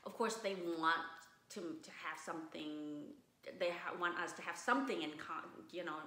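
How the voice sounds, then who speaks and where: A woman from Vietnam, a guest in a casual interview, speaking thoughtfully with many restarts and pauses. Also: fairly low pitch, sharp tone. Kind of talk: casual conversation. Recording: roomy echo.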